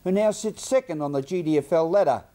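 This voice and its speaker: A man's voice: fairly high pitch, quick pace, dark tone. A man with an Australian accent reading scripted local television news as presenter.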